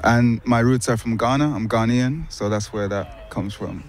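A young man from Finland, a musician guest answering interview questions in a park in a relaxed, thoughtful way with hesitant restarts. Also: medium pitch, normal speed. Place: city park outdoors.

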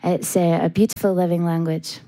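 A Scottish woman, a folk singer, talking softly with many pauses and fillers about her background. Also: fairly low pitch, level tone, rough recording. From Scotland. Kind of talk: interview and live stage talk.

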